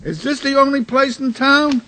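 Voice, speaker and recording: A man as a high, sharp-voiced barroom hothead. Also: moderate pace. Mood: resentful. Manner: agitated, coarse, combative. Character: hot-headed, anxious male barroom regular, rough-spoken. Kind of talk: radio drama acting.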